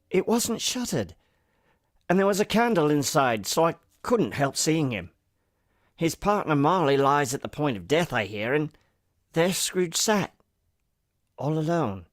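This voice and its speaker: An actor playing a genial husband sharing news in a vintage-style radio drama. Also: male voice, fairly high pitch, dry recording, studio quality.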